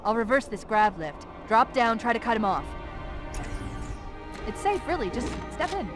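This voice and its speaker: An actress playing a confident female artificial intelligence in a video game, giving brisk, crisp tactical guidance. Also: on the high side, big pitch swings, over loud music. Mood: brisk, determined. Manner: crisp, urgent tactical instructions.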